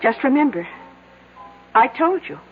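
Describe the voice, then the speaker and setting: A woman's voice: sits high, big pitch swings, measured pace, heavily acted. An actress playing a plainspoken, chatty small-town innkeeper who needles her guest in an old-time radio drama. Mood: teasing, needling. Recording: tinny vintage radio recording, background music.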